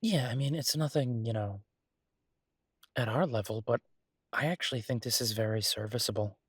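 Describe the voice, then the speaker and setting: A man playing an easygoing, earnest chef boyfriend in an intimate roleplay audio drama, talking casually and haltingly with a husky, reassuring voice. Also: mid-range voice, no room sound, clean studio sound, lightly acted. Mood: hopeful, practical.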